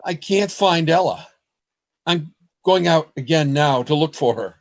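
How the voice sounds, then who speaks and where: An actor in a radio play playing an earnest, desperate man in love, with a husky voice and a dramatic, pleading delivery. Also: on the high side, lively intonation, a little slow, background noise. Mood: haunted, brooding. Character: anguished lovesick man, earnest, desperate, hiding among others.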